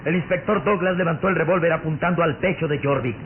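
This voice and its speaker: A man narrating a radio drama in a theatrical, suspenseful style with deliberate phrasing. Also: on the high side. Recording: noisy, tinny old radio drama recording.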